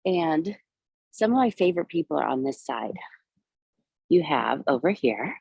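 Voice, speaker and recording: A woman with a slightly low voice, hoarse from a spring cold, leads a live walking tour through city streets and a small park. She talks in a chatty, meandering way, stopping to point things out. Her voice sounds dry and close with no room echo. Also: very animated, a little slow, darkish tone, muffled recording.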